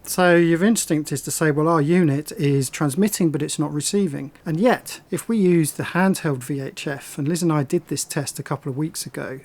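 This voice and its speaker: A man's voice: on the high side, normal speed, hardly any pauses, a little mellow. A man talking casually to camera as a sailing vlogger, explaining things and thinking aloud in a slightly hesitant way. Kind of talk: casual explanatory vlog talk.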